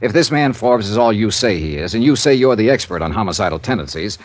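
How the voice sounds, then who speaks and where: Actor as a wry, lively American radio detective. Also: male voice, fairly low pitch, fast, no echo, lightly acted. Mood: blunt, firm. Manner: dry, sardonic, blunt. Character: tough, wry freelance insurance investigator, male, narrating his cases. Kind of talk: 1950s radio detective acting, clipped hard-boiled narration.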